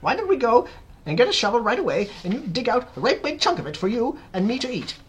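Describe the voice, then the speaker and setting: A storyteller voicing a fat, greedy, excitable aunt who whoops and gushes in a children's storybook. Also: medium pitch, fast, a little hiss or hum, voice pushed up, lightly acted. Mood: greedy excitement. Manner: whooping, gushing, exclamatory.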